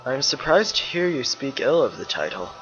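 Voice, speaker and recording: Guy doing a calm loyal lion man, measured and serious, fantasy comic dub, kinda muffled mic with music under it. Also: medium pitch. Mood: measured, gently insistent. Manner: measured, grave, formal phrasing. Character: calm, loyal male leonin planeswalker, steadfast friend. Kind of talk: dramatic dialogue in a fan-made motion comic.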